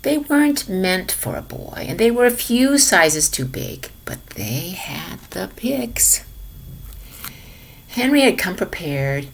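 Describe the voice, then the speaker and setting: A woman reading a children's picture book aloud in an expressive manner, sometimes dropping to hushed tones. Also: medium pitch, slow pace, vocal fry. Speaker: storybook reader for a children's lesson.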